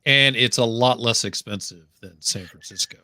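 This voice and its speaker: Bright, upbeat host. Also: male voice, mid-range voice, moderate pace, no echo. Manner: upbeat and effusive. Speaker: podcast host. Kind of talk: live-stream interview.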